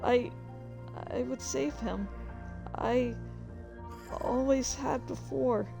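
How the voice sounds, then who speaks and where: Woman streamer narrating their fanfic, takes it really slow, casual asides, bit of music behind. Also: high voice, creaky. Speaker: streamer reading their own fan fiction aloud.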